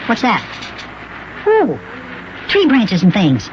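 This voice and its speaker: A man's voice: high-pitched, huge pitch swings, takes it slowly, pitched above the performer's own voice, heavily acted. A man voicing an eager, wide-eyed little boy elf in a children's radio play, chirpy and curious, with a sped-up voice. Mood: curious, amazed. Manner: chirpy, curious, wonderstruck chatter. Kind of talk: old-time children's radio acting. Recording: muffled, noisy old radio recording.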